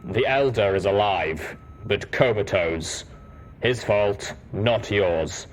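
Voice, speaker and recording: A man voicing a gruff, stoic male soldier pony in a story, with a deep rumble and a blunt manner. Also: slow. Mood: blunt, measured.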